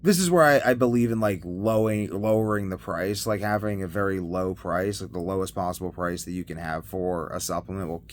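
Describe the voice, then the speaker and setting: A man talking casually as a video blogger in a rambling monologue, halting and often trailing off mid-thought. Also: low voice. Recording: noticeable background noise.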